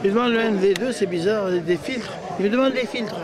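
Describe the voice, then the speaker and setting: A man with a very high voice talks casually while he films, sounding puzzled and fussy about his camera. Crowd noise fills the open air around him. It's a home-video recording. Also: fairly quick. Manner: puzzled, fussing over the camera. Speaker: person filming. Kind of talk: casual chat. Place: outdoor gathering. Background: crowd chatter.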